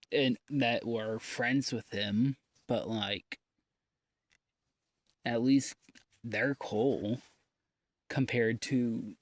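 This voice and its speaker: A man recording a rambling, confessional audio diary in a halting, downbeat, informal way with long pauses. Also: mid-range voice, no room sound. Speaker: audio diarist.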